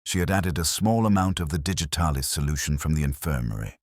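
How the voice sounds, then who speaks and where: A man reading a scripted first-person detective story in a measured, reflective, literary manner. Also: a bass voice, animated, no room sound, clean studio sound. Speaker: narrator.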